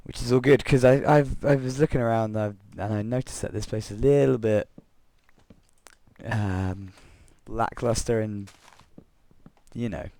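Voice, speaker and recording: A young man with a croaky voice from being unwell, rambling through gameplay commentary and a story, correcting himself often with chuckling asides. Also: on the low side, measured pace, dark tone. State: croaky voice from illness. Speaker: Minecraft let's player.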